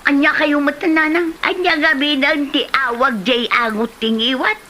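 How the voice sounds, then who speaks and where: A woman's voice: high voice, steady tone, moderate pace, bright, forceful tone, a little hiss or hum. A woman with an Ilocano accent playing a nagging, complaining, blunt village auntie in a comedy drama, full of exclamations. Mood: teasing, nagging.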